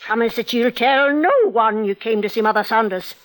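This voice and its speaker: An actress playing a defiant, secretive old village healer in a 1940s radio drama, with theatrical, archaic speech. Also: female voice, on the high side, normal speed, a bit bright, lightly acted. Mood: urgent, commanding. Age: older.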